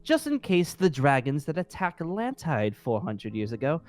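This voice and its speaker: A man's voice: on the high side, wildly swooping pitch, normal speed, a little mellow. A man playing a polite, centuries-old dragon slayer with a young body, speaking formally and wordily in a fantasy game roleplay. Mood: explaining. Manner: formal, wordy, hesitant.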